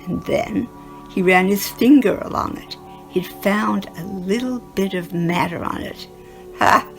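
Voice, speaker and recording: Woman reading memoirs, slightly creaky, over music. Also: medium pitch, unhurried, poor audio. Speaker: reader of written testimonials. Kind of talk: narrated first-person recollections, read aloud.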